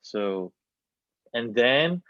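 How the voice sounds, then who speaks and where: A man in his twenties from South Korea with a Korean accent, answering as a student in a halting, word-searching way. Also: mid-range voice, expressive, dry recording. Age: 20s. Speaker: theater student. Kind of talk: panel discussion answer. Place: small plain room, indoors. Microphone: wireless earbuds on video call. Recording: muffled video-call audio.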